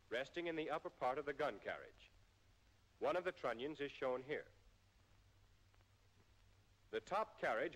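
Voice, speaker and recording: An American man reading a scripted 1940s military training film narration in a formal, measured way with precise enunciation. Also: fairly high pitch, fairly bright tone. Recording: 1940s film soundtrack, long reverb.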